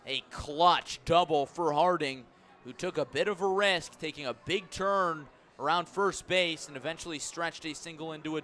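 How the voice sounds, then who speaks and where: A male baseball play-by-play announcer with a bright, forceful voice pitched slightly high. He calls the game live in clipped phrases and leans on key words. The delivery is that of radio sports commentary.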